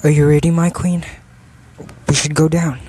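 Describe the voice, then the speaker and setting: A man's voice: fairly high pitch, level tone, moderate pace, mellow, slight background noise, close to an everyday voice. A man playing a secretive, ambitious new king reassuring his queen in an amateur radio drama.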